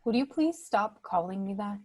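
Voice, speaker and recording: A woman playing a proud, sharp-tongued princess in an amateur script reading, dry and curt. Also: medium pitch, animated, moderate pace, lightly acted. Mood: sarcastic, irritated. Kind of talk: amateur script table read.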